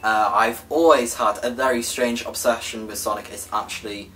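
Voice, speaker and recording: A man's voice: mid-range voice, steady tone, normal speed, bright, forceful tone, low-quality recording. A nineteen-year-old Welsh man chatting casually to his viewers, rambling and correcting himself with lots of fillers. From Wales. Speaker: gaming YouTuber. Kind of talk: casual vlog talk to viewers.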